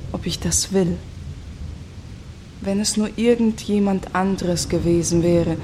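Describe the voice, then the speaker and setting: An actress playing a betrayed, bitter female commune dropout in a radio play, speaking in a reflective, wry, measured way. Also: lowish voice, a little mellow, a little hiss or hum, natural voice. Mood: bitter, wounded. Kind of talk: radio play dialogue and monologue.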